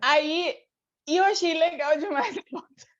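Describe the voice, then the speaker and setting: A Brazilian woman chatting casually as an interview guest, telling stories in an informal, animated way. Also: extremely high pitch, unhurried, muffled recording. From Brazil, born in Rio de Janeiro state, raised in Paraná from age eight. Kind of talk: casual chat, storytelling.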